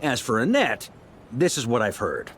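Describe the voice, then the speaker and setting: A calm, articulate young knight. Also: male voice, mid-range voice, normal speed, slight background noise. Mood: explaining. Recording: clear game music behind.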